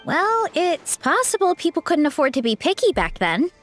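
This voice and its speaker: A woman playing an earnest, thoughtful young female adventurer in a video game, with a reasoning, gently skeptical manner. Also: extremely high pitch, sing-song, normal speed, soft background music.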